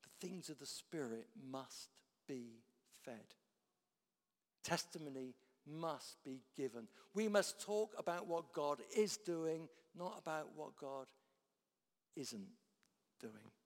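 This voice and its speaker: A man preaching a sermon, swinging from loud declarations down to hushed, quiet lines. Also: a little high, expressive, takes it slowly. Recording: long hall reverb.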